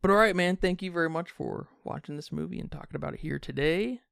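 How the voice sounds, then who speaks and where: A man's voice: a little high, fast, creaky, fairly bright tone, clean tone, no echo, clean studio sound. A man hosting a film review podcast in a casual, conversational way. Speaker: podcast host.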